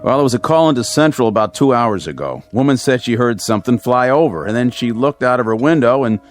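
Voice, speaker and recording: A policeman played by a male actor in a radio suspense drama, businesslike and in charge. The pitch moves freely as he questions and explains, over faint music. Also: expressive, quick pace, close to an everyday voice. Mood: firm, explaining. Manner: authoritative, matter-of-fact. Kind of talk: old-time radio drama acting.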